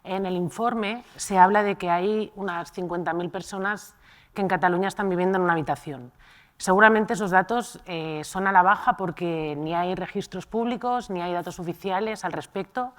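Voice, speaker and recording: A woman moderating a round-table panel at a conference, introducing guests and asking questions with filler pauses. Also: lowish voice. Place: conference hall.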